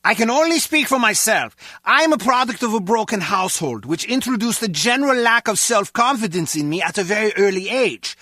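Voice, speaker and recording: A very high, bright voice with a German accent, an earnest henchman from a spy video game. He is pedantic and excitable, recorded dry and close. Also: no echo, heavily acted. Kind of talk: video game character dialogue.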